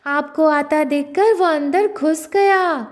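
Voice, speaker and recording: A woman's voice: high voice, slow, voice pushed up. A woman voicing a small, clever male rabbit who pleads humbly in a children's story. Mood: slyly humble.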